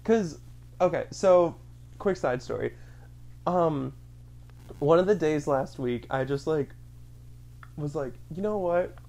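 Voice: medium pitch, slow pace, pauses often, a little mellow. A speaker with a mid-range voice, chatting casually with a friend. The talk rambles, packed with fillers, and the speaker acts out their own thoughts in little quotes. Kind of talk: casual chat, storytelling.

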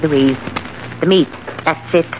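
An actress playing an indignant, bossy, suspicious old spinster aunt in a dramatic vintage radio play. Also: female voice, sits low, takes it slowly. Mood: grave suspicion. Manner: dramatic, emphatic. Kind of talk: 1940s radio acting. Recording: muffled old radio recording, loud noise.

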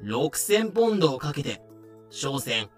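A man's voice: fairly high pitch, very animated, unhurried, faint music behind. A man reading scripted comedic narration for a voice drama, explaining things with dry, sarcastic asides.

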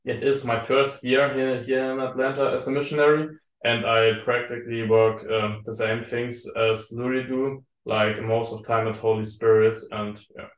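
Young German man, halting, flat voice. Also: mid-range voice. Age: young adult. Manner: halting, searching for words. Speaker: lay missionary. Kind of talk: casual chat. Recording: echoey room.

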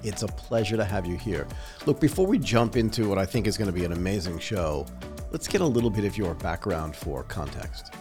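A podcast host with a very low, deep voice opens the show. He sounds upbeat and brisk as he introduces his guest, and faint music plays underneath. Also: lively intonation. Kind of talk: podcast interview hosting.

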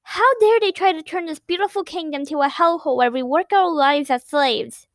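A fiery young woman, tearful and indignant, pushing hard on every complaint, voiced by a woman reading a story. The voice is high and sits dry and close to the mic. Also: moderate pace, no room sound, lightly acted. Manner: indignant, emotional.

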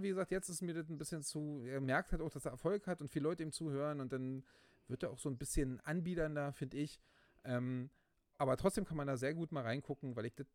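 A man's voice: medium pitch, darkish tone, no room sound. Guy rambling on a podcast, lots of ums and restarts, gets riled up, dry close mic. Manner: rambling, many fillers and restarts, gets worked up. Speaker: podcast co-host. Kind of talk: casual chat.

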